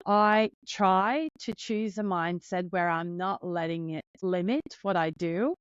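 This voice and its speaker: A woman's voice: on the low side, unhurried, clear, resonant tone, no room sound, highs cut off. A woman in her thirties from Finland with a Finnish accent, hosting her own podcast in an earnest, openly nervous, heartfelt way. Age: 30s. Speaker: podcast host. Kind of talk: solo podcast monologue.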